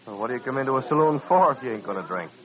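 A man performs a blustering rancher from a vintage radio western. He is gruff, impatient and needling, in a mid-pitched voice, and the old recording sounds dull. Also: animated, very fast, fairly bright tone, natural voice. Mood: scornful. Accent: American. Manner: blustering, belittling. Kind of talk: 1950s radio western acting.

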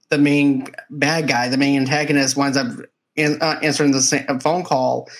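A man co-hosting a movie review podcast, chatting casually and eagerly retelling scenes from the film. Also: a little high. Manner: animated scene-by-scene retelling, quotes lines from the film.

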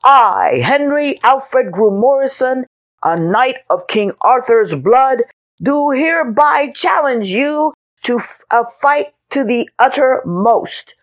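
A woman voicing a pompous six-year-old boy knight who makes grand, loud declarations. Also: high voice, expressive, slow pace, pitched above the performer's own voice, exaggerated voice. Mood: boastful.

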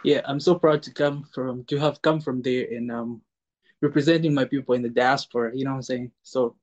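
A young man from central Zambia, a podcast guest, speaking in a halting way with many fillers. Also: medium pitch, steady tone, a little mellow, muffled recording. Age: young adult. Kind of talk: casual chat.

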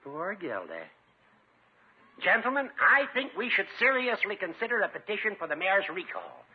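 An actor playing a pompous, sympathetic older small-town judge in a 1950s radio comedy, speaking in flowery, formal language. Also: male voice, high voice, wildly swooping pitch, normal speed, breathy edge, heavily acted. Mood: sorrowful. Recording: muffled, narrow-band 1950s radio recording.

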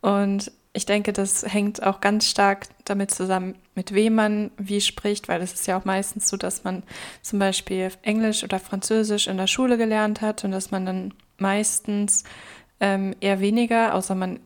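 Young female podcast co-host, raised bilingual in German and Russian, calm level delivery, thinks aloud with many fillers. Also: mid-range voice, moderate pace. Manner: thoughtful, many hesitant fillers. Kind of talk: casual conversation.

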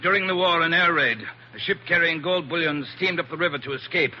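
Actor as a high-voiced, bitter smuggler. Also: male voice, fairly quick, lightly acted. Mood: cornered, confessing. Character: guilty plantation owner and smuggler, betrayed and bitter. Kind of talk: 1940s radio acting. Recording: muffled, hissy 1940s radio recording.

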